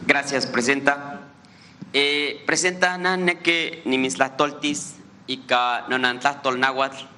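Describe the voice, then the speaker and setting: A man with a Mexican accent whose first language is Nahuatl, a reporter asking a question at a press conference in a slow, earnest way while switching between two languages. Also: medium pitch, steady tone, sharp tone, a little hiss or hum.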